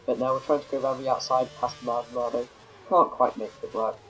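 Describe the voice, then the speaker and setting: A man's voice: mid-range voice, steady tone, fast, a bit bright, low-quality recording. A man with a cold and a slightly nasal voice, casually commentating his racing game, rambling and correcting himself. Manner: rambling, self-correcting, many fillers. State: has a cold, a bit nasal. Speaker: gameplay commentator.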